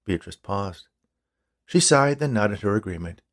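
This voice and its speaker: A man's voice: on the low side, lively intonation, measured pace, fairly bright tone. A man reading a comic Halloween story aloud as a scripted narrator.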